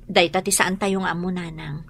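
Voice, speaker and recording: An actress with an Ilocano accent playing a patient, devout daughter, consoling and measured, in a radio drama. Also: female voice, fairly low pitch, steady tone, creaky.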